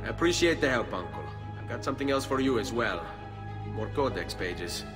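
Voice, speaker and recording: An actor voicing a determined young Italian assassin in a video game, with an Italian accent and a formal, resolute way of speaking. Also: male voice, mid-range voice, animated, brisk, music in the background, natural voice. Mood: calm, respectful. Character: determined young Italian male assassin avenging his family. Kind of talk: video game cutscene acting.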